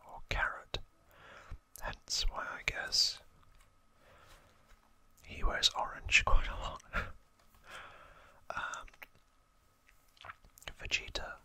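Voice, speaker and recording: A host whispering softly and haltingly close to the microphone, explaining trivia informally in an ASMR style. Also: no echo. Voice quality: soft unvoiced whisper.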